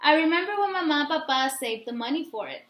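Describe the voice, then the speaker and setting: A woman's voice: sits high, expressive, quick pace, fairly bright tone. A woman reading a children's book aloud as narrator. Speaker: story reader. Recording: echoey room.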